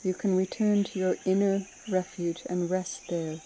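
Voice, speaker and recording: A woman meditation teacher leading a guided mindfulness practice in a soothing, unhurried manner, with gentle pauses between phrases. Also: fairly low pitch, level tone, slight background noise.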